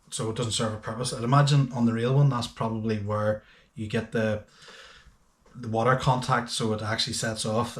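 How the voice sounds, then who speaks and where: Casting: male reviewer, mid-pitched, chatty and matter-of-fact with hesitant phrasing, recorded in a roomy, echoey space. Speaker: host of a boating gadget review channel. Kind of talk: casual unscripted product review.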